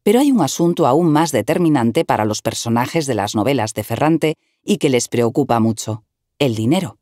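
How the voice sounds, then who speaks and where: A woman narrating a written article in an even, measured reading style, recorded close to the mic. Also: a bass voice, no room sound.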